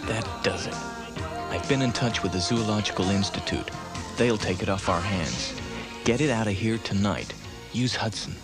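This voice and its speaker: A man playing a brisk, short-tempered commanding officer in a children's sci-fi puppet series, on an old TV soundtrack. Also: lowish voice, lively intonation, loud music behind the voice, close to an everyday voice. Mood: stern, exasperated.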